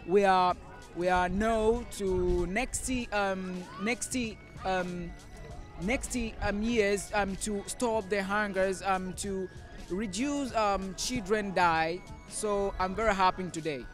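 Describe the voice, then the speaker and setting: A sixteen-year-old boy from Tanzania, speaking slowly with many pauses and ums. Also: sits high, fairly even intonation, faint music behind. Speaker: young ambassador.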